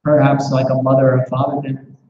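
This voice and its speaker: A man's voice: medium pitch, completely flat delivery, fairly quick, mellow, a little hiss or hum. A man leading a scripture class with questions, speaking reflectively and often restarting with repeated words. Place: temple class room. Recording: echoey muffled room recording.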